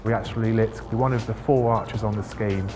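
A man's voice: on the low side, steady tone, a little fast. A man, explaining a project in an interview as a consultant. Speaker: lighting consultant. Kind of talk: interview explanation for a case study video. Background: music.

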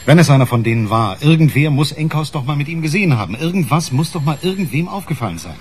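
An actor playing a gruff, sceptical homicide detective with a brisk, grumbling manner in a German radio crime play. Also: male voice, fairly high pitch, expressive, a little hiss or hum, close to an everyday voice. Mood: businesslike.

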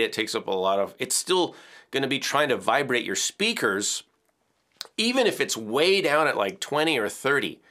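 Bass baritone guy, kinda low voice but bright, chill teacher vibe explaining stuff in his studio. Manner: relaxed, chatty explaining with gestures and small laughs. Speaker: music educator. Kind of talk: hands-on audio tutorial. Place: home music studio, indoors. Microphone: headphones on, studio mic off camera.

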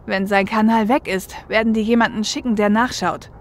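A woman playing a seasoned, protective female runner in an audio drama, with measured, matter-of-fact delivery. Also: medium pitch, steady tone, slight background noise, lightly acted. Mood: businesslike. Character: seasoned female shadowrunner getaway driver, protective older sister.

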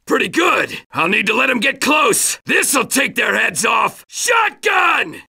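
A man's voice: extremely high pitch, big pitch swings, takes it slowly, creaky, pushed and bright, breathy edge, raised voice, full character voice. A man playing a brash, aggressive, foul-mouthed American Marine in a combat video game, exclaiming his lines. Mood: fired up.

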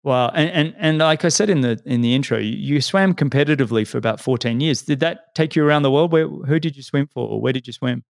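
Host voice: a mid-pitched man, friendly and casual, tripping over repeated words, dry recording. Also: fast, vocal fry, hardly any pauses, no room sound. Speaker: podcast host. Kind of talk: casual interview chat.

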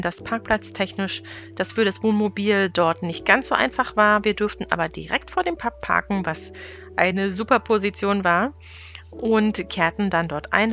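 A woman's voice: mid-range voice, a bit bright. A woman narrating her family travel vlog in a scripted, chatty voice-over, telling it plainly with small restarts. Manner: chatty, matter-of-fact storytelling with small restarts. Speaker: travel vlogger. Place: voice-over, recorded apart from the pictured scenes.

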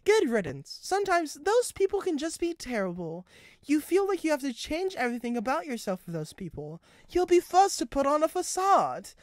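Woman as shy female engine character, high small voice, hesitant, wounded, storybook drama, dry recording. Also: sing-song, moderate pace, no room sound. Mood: anxious, hurt. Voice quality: quiet, small voice. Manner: hesitant, emotional, rambling.

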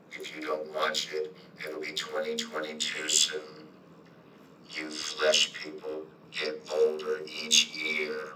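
An echoey, distant and very noisy recording of a synthetic male robot. The voice is utterly flat and stiff, reciting corny, friendly remarks with deadpan delivery. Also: extremely low pitch. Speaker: robot character presenter. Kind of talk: scripted robot monologue. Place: computer speaker playback in a room. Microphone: distant handheld camera mic. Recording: echoey, noisy playback filmed from off.

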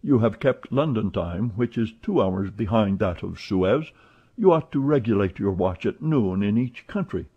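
A man voicing a suspicious adult detective who asks measured, probing questions, in a dull lo-fi recording. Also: lowish voice, expressive, slightly rough. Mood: calm.

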